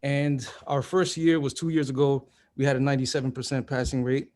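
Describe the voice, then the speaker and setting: A man, a high school teacher, presenting his program at a virtual school fair, speaking plainly with lots of fillers over a video call. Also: fairly even intonation, a little mellow. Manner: plain, halting with many fillers.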